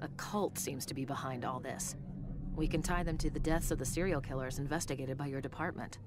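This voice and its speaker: An actress playing a wry, probing, cool-headed woman in a video game drama, with a dry, measured delivery. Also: rumbling low, steady tone. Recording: music behind the voice.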